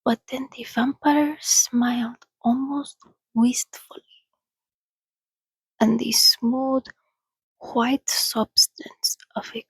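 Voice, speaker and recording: A woman reading a story aloud in a soothing, breathy, soft-spoken ASMR style, often slipping into a whisper, close to the microphone. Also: on the high side, steady tone, dry recording, low-quality recording. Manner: soothing, unhurried. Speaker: reader.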